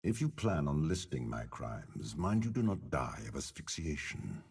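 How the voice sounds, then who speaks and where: An actor playing a commanding, cunning older general in a video game, with a raspy voice and a measured, imperious delivery. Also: male voice, big pitch swings, darkish tone, exaggerated voice. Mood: scornful. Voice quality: deep gravelly rasp. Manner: slow, grandiose, measured, imperious. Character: commanding older male warlord general, cold, cunning, menacing. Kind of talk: video game character voice lines.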